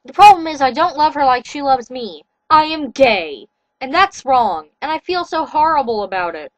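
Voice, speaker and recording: A woman's voice: high voice, animated, moderate pace, lo-fi sound. A woman voicing a sad, tearful young man who speaks earnestly and with anguish.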